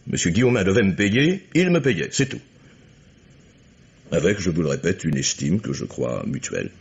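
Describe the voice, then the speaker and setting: An actor playing a proud, guarded chief engineer in a radio mystery, speaking formally and in measured, clipped statements. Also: male voice, deep voice, sing-song, darkish tone, husky, exaggerated voice. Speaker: actor in a radio crime drama. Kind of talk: French radio mystery acting. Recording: muffled old radio recording.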